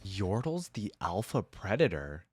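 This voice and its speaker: A man's voice: lowish voice, big pitch swings, unhurried, breathy edge. A man hosting a reaction video, giving casual commentary and musing aloud in a conversational way.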